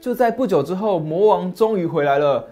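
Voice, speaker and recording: A man in his thirties narrating a fairy tale and explaining it, steady and expressive. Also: sits high, a little fast, soft background music. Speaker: storyteller host. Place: dim study set, indoors. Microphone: lapel mic.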